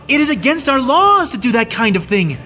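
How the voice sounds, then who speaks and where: A man voicing a sincere young woman knight who pleads quickly and earnestly in an audiobook. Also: very high voice, big pitch swings, sharp tone, faint music behind, pitched above the performer's own voice, exaggerated voice. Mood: pleading. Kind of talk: audiobook reading.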